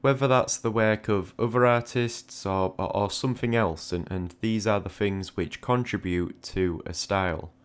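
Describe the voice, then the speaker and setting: Very low-pitched man, reflective monologue. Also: fairly even intonation, normal speed, hardly any pauses, clear, resonant tone. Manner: conversational, reflective, repeating words as he thinks. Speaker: art channel host. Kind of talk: reflective spoken monologue giving art advice.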